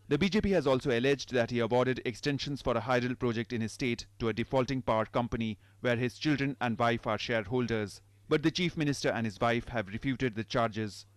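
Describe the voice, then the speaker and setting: A man's voice: mid-range voice, no room sound. Indian man narrating news, very dry sound. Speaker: news reporter. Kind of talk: scripted news report narration.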